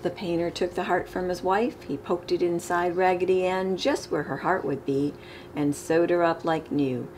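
A middle-aged woman reading a children's picture book aloud with expressive, emphasized storybook delivery. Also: on the low side, moderate pace. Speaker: storytime reader. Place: living room, indoors. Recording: clear background room noise.

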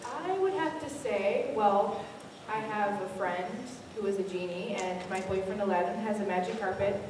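A woman's voice: mid-range voice, moderate pace, close to an everyday voice. Teenage girl as confident young princess, stage comedy, roomy echo, recorded from far off. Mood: matter-of-fact. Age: teens. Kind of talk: live stage comedy skit. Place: school auditorium stage. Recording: very noisy echoey auditorium, far off.

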